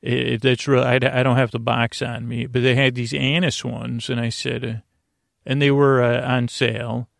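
A man hosting a sleep podcast, delivering a whimsical bedtime monologue in a halting, meandering way that drifts off into tangents. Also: mid-range voice, little pitch movement, vocal fry, no echo, studio quality.

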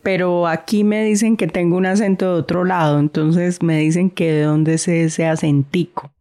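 Low-voiced Colombian woman, Santander accent. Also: fairly even intonation, moderate pace, warm tone. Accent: Santander Colombian Spanish, softened. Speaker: guest. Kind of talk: casual conversation.

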